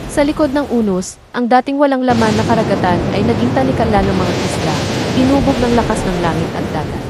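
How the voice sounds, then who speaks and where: Female storyteller, medium pitch, dramatic scripted folktale narration, with clearly audible background nature noise.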